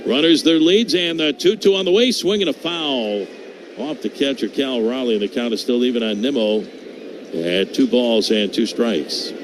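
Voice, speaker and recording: A man calling live baseball play-by-play for radio, lifting his voice on the action and settling back between pitches. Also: expressive, normal speed. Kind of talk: live radio sports commentary. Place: open-air ballpark broadcast booth. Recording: clear outdoor ballpark noise behind.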